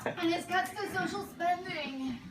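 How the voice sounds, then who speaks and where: Woman puppeteering a very high-pitched, meek young man. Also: unhurried. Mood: earnest, affectionate. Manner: hesitant, mild. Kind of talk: amateur comic puppet show. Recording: echoey distant recording, loud crowd.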